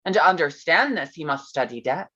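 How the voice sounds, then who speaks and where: A woman's voice: deep voice, expressive, a little fast, husky, muffled recording, full character voice. A woman playing Mary Shelley as an intense, teasing storyteller in a staged reading, pointed and suspenseful. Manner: suspenseful, pointed, shifting into hushed tones. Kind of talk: dramatic staged reading of a gothic tale.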